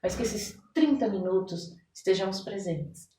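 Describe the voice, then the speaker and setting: A woman's voice: mid-range voice, lively intonation, normal speed, mellow, faint music behind. A Brazilian woman talking conversationally as a podcast guest, explaining things and acting out little quotes as she goes. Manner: explanatory, illustrates points with acted-out quotes. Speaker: podcast guest, therapist and oracle card reader. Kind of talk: conversational interview talk. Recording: roomy echo, distant microphone.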